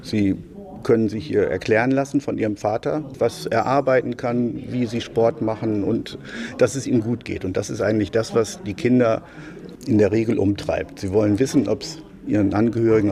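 A man's voice: on the low side, fairly even intonation, normal speed, creaky, crowd noise. A man who leads a social support group, speaking in an interview. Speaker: social welfare group leader. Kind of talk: interview statement.

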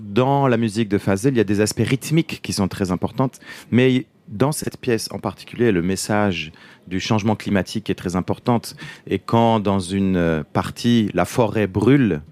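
A man from France with a French accent, a conductor giving an interview, speaking slowly and hesitantly while searching for words. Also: fairly low pitch, darkish tone. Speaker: orchestra conductor. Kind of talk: interview in French, halting German and English.

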